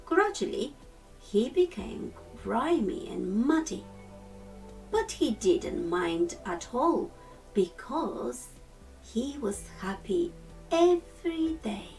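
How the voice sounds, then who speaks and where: A woman reads a picture book aloud for storytime. Her phrasing is slow and deliberate, in a mid-range voice. She sounds slightly away from the mic, with music playing behind her. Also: on the high side, big pitch swings, darkish tone, distant mic, low-quality recording. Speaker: storytime reader. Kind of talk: children's storybook narration.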